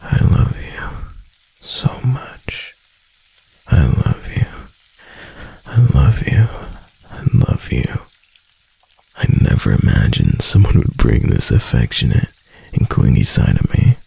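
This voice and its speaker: A young man voicing a doting, clingy husband in a soft, intimate ASMR roleplay. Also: a bass voice, gravelly, husky, full character voice, poor audio. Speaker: voice actor.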